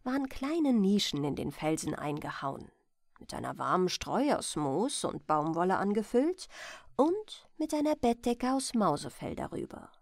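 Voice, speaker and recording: Slow, expressive woman storyteller, dry close sound. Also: mid-range voice, no room sound. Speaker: storyteller reading a fairy tale. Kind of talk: scripted bedtime story narration.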